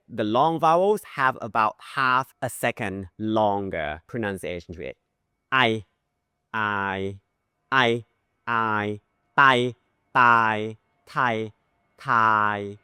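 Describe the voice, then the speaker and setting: A man gives a pronunciation lesson at an unhurried pace, drawing out and repeating sample sounds. His voice is bright and clear, with a lively, wide-ranging pitch. The recording is close and dry. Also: no echo. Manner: modeling pronunciation with drawn-out sounds. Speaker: language teacher. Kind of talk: language lesson.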